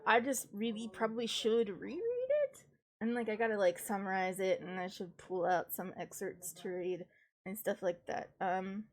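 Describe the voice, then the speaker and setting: A young woman streamer with a stuffed-up, congested voice, chatting casually and laughing at herself. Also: medium pitch. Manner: chatty, self-deprecating, giggly asides. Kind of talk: casual chat.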